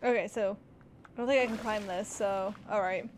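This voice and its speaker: A woman's voice: mid-range voice, fairly even intonation, normal speed, a little hiss or hum. A woman doing casual, reactive let's play commentary as a gameplay streamer, with game music behind her. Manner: reactive, exclaiming, reading prompts aloud. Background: game audio and music.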